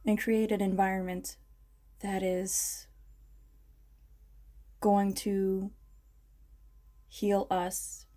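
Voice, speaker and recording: A woman leading a guided meditation in an ASMR style, speaking in a ceremonial, measured and soothing manner. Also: medium pitch, little pitch movement, dark tone.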